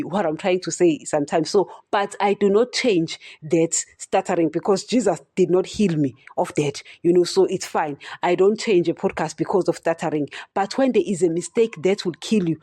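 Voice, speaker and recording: Female podcast preacher, stutters, blunt and repetitive, mellow tone, dry close sound. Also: on the low side, husky, dry recording. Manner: direct, repeats "you understand?", addresses listeners as guys. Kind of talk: solo preaching podcast talk.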